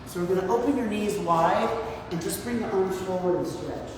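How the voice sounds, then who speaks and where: A woman in her sixties with a low, husky voice, guiding a workout class and counting reps with encouragement. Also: moderate pace, noisy background. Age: 60s. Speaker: fitness instructor. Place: large gym. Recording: echoey hall, mic far off.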